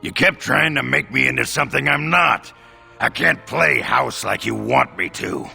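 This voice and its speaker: An actor playing a crude, boisterous, drunken dwarf warrior in a video game, with a gravelly, slurring voice. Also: male voice, mid-range voice, normal speed, bright, forceful tone, soft background music, full character voice. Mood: defensive, gruff. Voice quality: gravelly, raspy.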